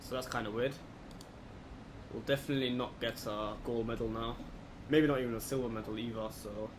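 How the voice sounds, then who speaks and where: A man giving casual, low-key let's play commentary, thinking aloud as he goes. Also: brisk. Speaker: gaming video host. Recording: clear background noise, steady hum.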